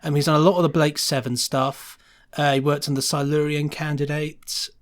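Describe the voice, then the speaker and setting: A man's voice: on the high side, little pitch movement, normal speed. A man speaking as a podcast guest and sound designer, chatting casually and enthusiastically in an effusive, rambling way.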